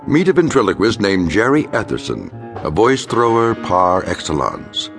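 An American man playing a wry, ominous narrator, giving measured, ornate scripted narration for a radio drama. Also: on the low side, big pitch swings, fairly bright tone, soft background music.

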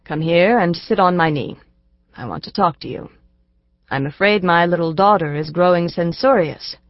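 A grave, gentle father, as a woman reader puts him on, speaking softly and lower. He moralizes in a calm, measured way. The audio is dry and slightly dull. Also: no echo, lightly acted.

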